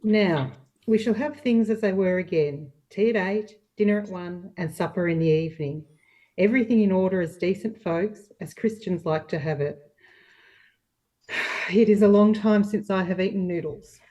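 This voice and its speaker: A woman's voice: mid-range voice, normal speed, warm tone, recorded from a distance, natural voice. A woman playing a homely, pious old nanny in an amateur play reading, speaking in a plain, consoling way. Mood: grumbling, settled.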